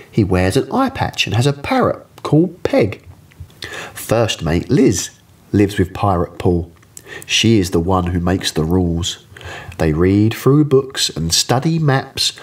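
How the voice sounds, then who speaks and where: A man's voice: lowish voice, breathy edge. A man in his thirties with an English accent, reading a rhyming picture book expressively to young children. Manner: expressive, addressing young listeners directly. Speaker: children's storyteller.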